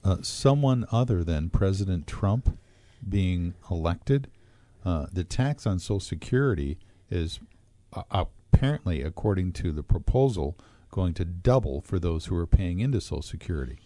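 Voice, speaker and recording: A man with a very low, mellow voice talks through pensions and interest rates at a measured pace, pausing on fillers. The sound is close and dry, with no room around it. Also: lively intonation, no room sound. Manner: measured, explanatory, with frequent fillers. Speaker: financial advisor co-host. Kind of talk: radio talk show discussion.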